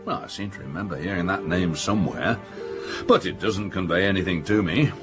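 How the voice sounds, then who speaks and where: A man's voice: low-pitched, brisk, over music, natural voice. An actor playing a pompous, self-satisfied older businessman in a radio drama, with a hearty, lecturing manner. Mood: guarded. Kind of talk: British radio drama acting.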